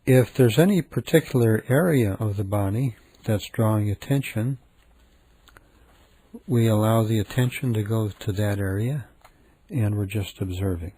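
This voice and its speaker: A man leading a guided meditation in a gentle, calm, unhurried manner with frequent pauses. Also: fairly low pitch, breathy edge.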